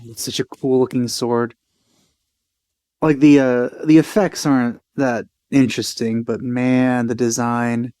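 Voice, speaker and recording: A man's voice: mid-range voice, level tone, unhurried, warm tone, no room sound. A man giving casual let's play commentary, informal and musing to himself as he goes. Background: faint game music.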